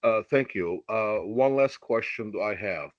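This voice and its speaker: A man calling in to an earnings call, asking formal questions politely and deliberately over a remote line. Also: lowish voice, no echo, highs cut off. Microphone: remote conference call.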